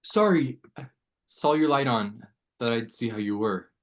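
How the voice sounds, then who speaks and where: Easygoing teen boyfriend played by a man for horror table read; mid-pitched, frank, loyal, casual. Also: animated, a little slow, natural voice. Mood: sheepish, impatient. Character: laid-back, blunt, loyal teenage boyfriend.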